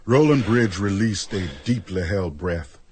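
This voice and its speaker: Male radio drama narrator, fairly low voice, storytelling delivery, slight background noise. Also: expressive, unhurried, darkish tone.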